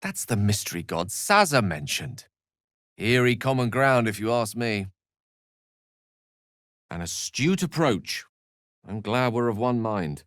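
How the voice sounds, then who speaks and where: An eloquent, theatrical wizard, richly expressive. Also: male voice, mid-range voice, a little slow, pauses often, dry recording, studio quality. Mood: wry, amused. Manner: eloquent, wry, theatrical phrasing. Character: erudite, witty, theatrical male wizard with courteous irony. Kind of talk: fantasy video game voice acting.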